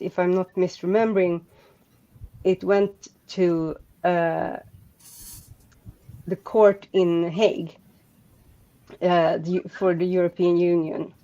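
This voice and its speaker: A Swedish-accented woman talks slowly over a muffled, lo-fi call line. Her voice sits fairly low, and she rambles with frequent restarts and fillers. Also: dark tone. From Sweden. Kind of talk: casual group chat.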